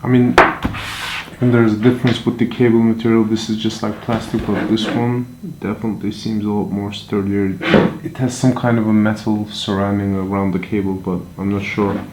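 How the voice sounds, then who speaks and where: A man's voice: on the low side, flat delivery, moderate pace. A man giving a casual hands-on product walkthrough, talking informally and offhand as he thinks aloud while handling the items. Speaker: product reviewer. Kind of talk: casual unboxing and hands-on demonstration. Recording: echoey room with handling clicks and noise.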